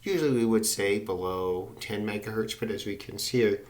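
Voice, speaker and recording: A man's voice: fairly low pitch, moderate pace. A man giving a casual hands-on demonstration as a radio hobbyist. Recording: loud radio static and hiss behind voice. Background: shortwave receiver static and interference.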